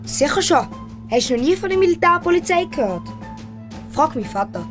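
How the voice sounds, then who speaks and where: Boastful young lad, easily impressed, highish pitch, kids' audio story over music. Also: female voice, sing-song, normal speed. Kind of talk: audio story dialogue for children.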